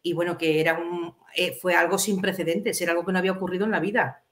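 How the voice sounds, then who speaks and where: A woman's voice: fairly low pitch, fairly even intonation, fast talker, crowd noise. A middle-aged woman with an accent from Spain, chatting casually as a host on a video call. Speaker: channel host. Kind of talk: casual chat. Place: indoor room, on a video call.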